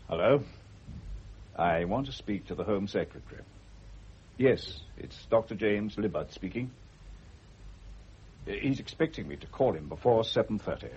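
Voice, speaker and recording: An actor playing a calm, probing doctor in a radio play, speaking in a measured, authoritative way. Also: male voice, fairly low pitch, slightly rough. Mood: urgent, formal. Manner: measured, authoritative, gently commanding. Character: calm, probing male doctor questioning a patient. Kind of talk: radio drama acting. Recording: muffled, noisy old radio recording.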